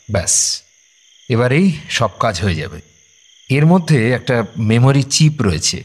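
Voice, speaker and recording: A man playing an eager, persuasive technician in an audio drama, explaining. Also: fairly low pitch. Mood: busy, assured. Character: eager, persuasive male technician selling memory-storage robots.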